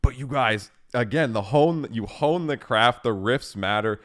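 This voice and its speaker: A man hosting a casual interview, enthusiastic and quick to laugh. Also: mid-range voice. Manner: enthusiastic, animated, laughs often. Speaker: host.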